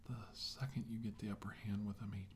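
A middle-aged man playing a weary, shaken doctor in a halting, brooding ASMR monologue that often drops toward a whisper. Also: on the low side, flat delivery, a little hiss or hum, lightly acted. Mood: somber, brooding. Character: weary, shaken male doctor recounting a battle with aliens. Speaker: performer of ASMR roleplay. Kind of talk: slow ASMR roleplay monologue, soft and partly whispered.

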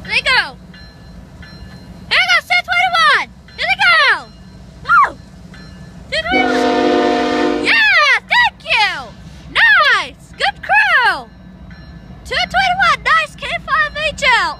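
Very high-voiced excitable train spotter. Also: female voice. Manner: excitable, cheers and whoops at passing trains. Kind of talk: live excited railfan commentary. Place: outdoors on a train station platform. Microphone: handheld phone. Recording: loud train noise, outdoor phone audio. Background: loud passing freight trains.

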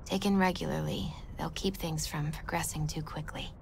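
An actress playing a gentle, grave healer in a video game, speaking tenderly in a breathy half-whisper. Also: female voice, low-pitched, steady tone, slight background noise. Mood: grave, soothing. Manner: tender, soothing, unhurried. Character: soft-spoken gentle woman healer, caring and grave. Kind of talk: naturalistic video game acting.

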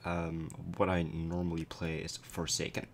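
Young man with a very deep, cracking voice. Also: measured pace, a little hiss or hum. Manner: relaxed, explanatory, many fillers. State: voice cracking after a concert. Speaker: gameplay commentator. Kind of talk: casual gameplay commentary.

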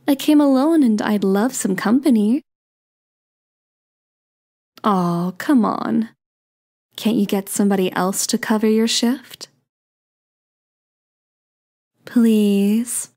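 A woman playing a playful, sweet young daughter of Cupid in an ASMR romance roleplay, coy and gently teasing. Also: a little high. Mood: coaxing, playful.

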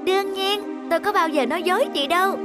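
A woman's voice: very high-pitched, fast. A woman voicing a kind young goldfish in a cartoon, reassuring and upbeat. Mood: comforting. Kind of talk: cartoon voice acting. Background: music.

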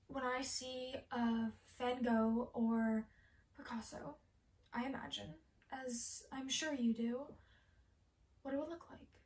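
Hushed, obsessive pyromaniac woman, acted out by a woman. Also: high voice. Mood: dreamy fascination. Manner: hushed, halting, intense. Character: obsessive female pyromaniac, wistfully enraptured by fire. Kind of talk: theatrical monologue. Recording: distant camera microphone in a room.

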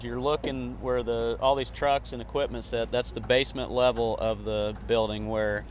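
A middle-aged man explains a site layout in a flat, mid-pitched voice. He speaks into a handheld mic outdoors, with faint vehicle noise around him. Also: moderate pace. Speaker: construction site guide. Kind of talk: explanatory interview answers. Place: open outdoor construction site. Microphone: handheld interview microphone.

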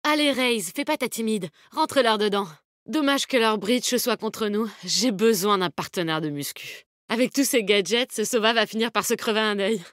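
A woman's voice: mid-range voice, normal speed, a bit bright, dry recording, studio quality, lightly acted. An actress playing a tough, confident, protective woman fighter in a video game, with a bold, cheeky, commanding manner. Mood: determined. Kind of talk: video game character voice lines, combat callouts.

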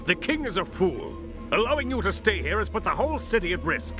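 An actor playing a haughty, scheming royal official in a cartoon game, formal, pompous and menacing. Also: male voice, high-pitched, big pitch swings, brisk, over music. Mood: scornful.

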